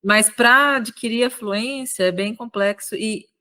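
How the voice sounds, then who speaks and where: A woman's voice: on the high side, pushed and bright. A woman hosting an interview, speaking in a friendly, chatty way. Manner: friendly, chatty, with quick laughs. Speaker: host, lawyer and columnist. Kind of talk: casual interview chat.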